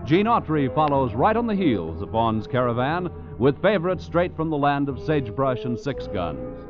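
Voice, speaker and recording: Over prominent music on a muffled vintage broadcast, a male radio announcer reads in a polished 1940s network style. His voice sits fairly high and swings animatedly through formal promotional copy. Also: very animated, normal speed.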